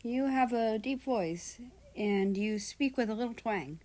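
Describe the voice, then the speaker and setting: A woman's voice: on the high side, unhurried, mellow, pitched above the performer's own voice, close to an everyday voice. A woman voicing a friendly, cheerful, teasing schoolboy in a story reading.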